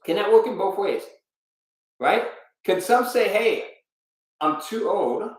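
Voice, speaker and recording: A man's voice: high-pitched, expressive, slow. A man teaching a live online business webinar, speaking with energy and emphasis and asking his viewers frequent rhetorical questions. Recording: very echoey room.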